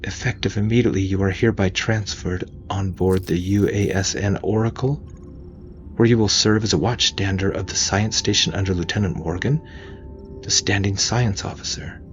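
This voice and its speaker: A man's voice: low-pitched, fairly even intonation, moderate pace, lo-fi sound, faint music behind, voice pushed up, natural voice. A man voicing a young female junior navy ensign in audio fiction, earnest and deferential. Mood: excited, formal. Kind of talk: audio fiction character dialogue.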